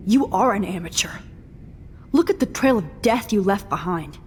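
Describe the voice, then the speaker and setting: A woman's voice: mid-range voice, animated, normal speed, darkish tone, big-room sound, faint music behind, natural voice. A woman voicing a young female photography student in a video game, earnest and defiant.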